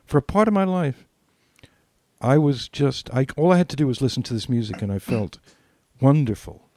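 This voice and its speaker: A man's voice: mid-range voice, lively intonation, moderate pace, a little mellow, a little hiss or hum. An older British man reminiscing as a podcast co-host, repeating phrases for emphasis. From Britain.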